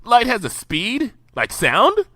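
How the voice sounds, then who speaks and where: A man voicing a brash, sporty young pony mare who talks in a loud, cocky, impatient way. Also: very high voice, wildly swooping pitch, slow pace, no room sound, studio quality, pitched above the performer's own voice, heavily acted.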